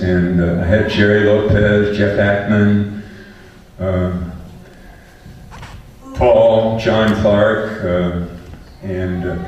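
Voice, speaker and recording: Older man telling chuckling stories in an echoey hall. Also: lowish voice. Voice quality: fairly loud voice. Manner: chuckling, rambling, often restarts his sentences. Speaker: panelist, former math teacher. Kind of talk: relaxed anecdotal storytelling to an audience. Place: large echoey event hall with a live audience. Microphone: distant microphone. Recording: echoey hall, distant mic, faint hiss.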